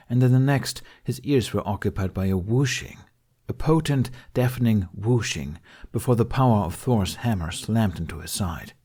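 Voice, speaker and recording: A man reading scripted fiction as an audiobook narrator, with a slightly husky voice. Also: on the low side, darkish tone.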